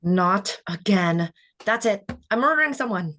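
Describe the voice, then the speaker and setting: A woman's voice: medium pitch, expressive, unhurried, a bit bright. A woman playing a vain, jealous beauty queen in her twenties at a script table read. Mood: seething. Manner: seething, falsely sweet. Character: resentful, vain twenty-five-year-old beauty queen, murderously jealous.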